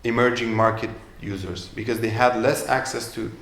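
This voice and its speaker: Male entrepreneur, halting on stage, roomy echo. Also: mid-range voice, fairly even intonation, gravelly, a bit bright. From Romania. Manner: hesitant, many fillers and restarts. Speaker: guest entrepreneur. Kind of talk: live interview at an event. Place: event room. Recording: echoey room with faint ambience.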